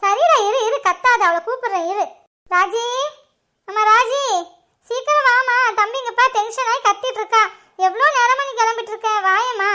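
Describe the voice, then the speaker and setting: A woman playing a nagging, sharp-tongued mother who teases and scolds her grown children in a comedy skit. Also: extremely high pitch. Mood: hurried. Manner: scolding, teasing, fast.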